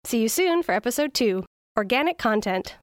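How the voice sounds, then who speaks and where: A woman's voice: on the high side, expressive, moderate pace. A woman reading scripted podcast announcements and credits as the show's announcer. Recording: music behind the voice.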